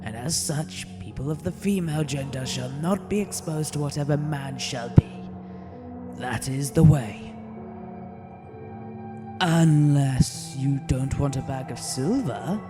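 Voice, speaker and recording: Shady, tipsy gatekeeper, male actor, unhurried and menacing, audio drama, music bed. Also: mid-range voice, close to an everyday voice. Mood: menacing, pompous. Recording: music over the dialogue.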